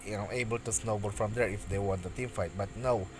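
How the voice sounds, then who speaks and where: A man giving practice play-by-play commentary on an esports match, halting with frequent restarts and bursts of excitement on big plays. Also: fairly low pitch, slight background noise. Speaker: esports caster.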